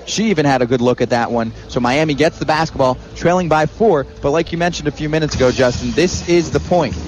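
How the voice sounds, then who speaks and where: A man's voice: fairly high pitch, fast talker. A man with an American accent giving emphatic, analytical color commentary on a live radio basketball broadcast from an arena.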